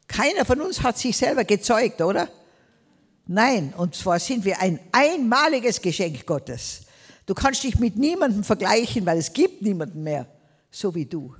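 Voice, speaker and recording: A woman's voice: medium pitch, big pitch swings, measured pace, breathy edge, in a large hall. An older woman from Tyrol with a Tyrolean accent, preaching a sermon emphatically, drawing out words and calling out Amen and Hallelujah. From Tyrol, Austria.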